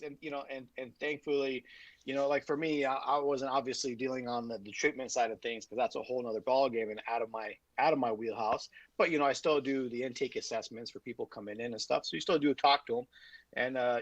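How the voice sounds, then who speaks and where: A man's voice: medium pitch, dry recording. A man talks through his story as a podcast guest, circling his points with fillers and false starts. The sound is dry and a little muffled, like a remote connection. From Utah, USA. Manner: rambling, many fillers and restarts. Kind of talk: casual interview talk.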